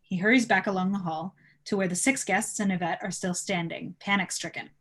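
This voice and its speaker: A woman narrates a screenplay at an informal table read. Her voice sits at a medium pitch as she reads out scene headings and action. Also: fairly even intonation, fairly quick, a bit bright. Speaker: narrator reading stage directions.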